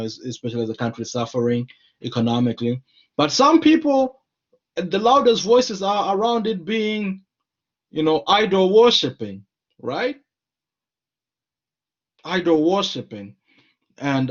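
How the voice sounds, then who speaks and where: Earnest scholar, slightly muffled. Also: male voice, on the high side. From Zimbabwe. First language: Shona. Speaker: scholar and lecturer. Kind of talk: conversational discussion.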